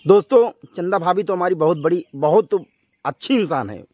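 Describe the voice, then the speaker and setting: Man, slightly high-pitched, confrontational vlog rant, scolding, emphatic, repetitive phrasing, dry sound. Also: lively intonation, moderate pace, a little mellow, no echo, highs cut off. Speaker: YouTube vlogger addressing viewers.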